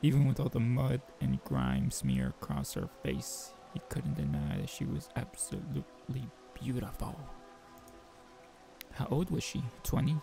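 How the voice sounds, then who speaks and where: A man with a gravelly voice reading a visual novel aloud as a game player, mixing narration with informal joking asides. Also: medium pitch, big pitch swings, measured pace, dark tone, faint music behind, rough recording. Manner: informal, joking commentary between read passages.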